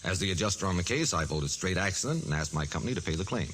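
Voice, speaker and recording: An actor playing an earnest, businesslike insurance adjuster in a 1940s radio drama, speaking in a deliberate, insistent way. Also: male voice, low voice, animated, a bit bright. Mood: subdued, persuasive. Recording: noisy vintage 1940s radio recording.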